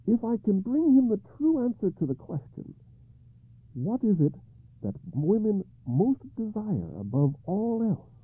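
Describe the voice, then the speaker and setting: A man's voice: high-pitched, sing-song, measured pace, breathy edge, no room sound, pitched above the performer's own voice, exaggerated voice. A man voicing an anxious, proud medieval king who stammers and pleads. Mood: worried. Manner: pleading, rising to frantic. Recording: dry, phone-like narrow sound.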